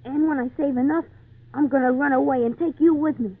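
A woman's voice: high-pitched, steady tone, fairly quick, background noise, natural voice. A woman playing an earnest, polite, folksy little boy in an old-time radio drama. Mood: secretive, determined. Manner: folksy, wide-eyed, hesitant. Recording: tinny band-limited 1940s radio recording.

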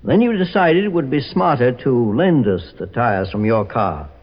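An actor playing a shrewd, commanding private detective who explains and accuses with authority in a 1940s radio drama. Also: male voice, mid-range voice, expressive, moderate pace, natural voice. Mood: triumphant. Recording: muffled, reverberant old radio recording.

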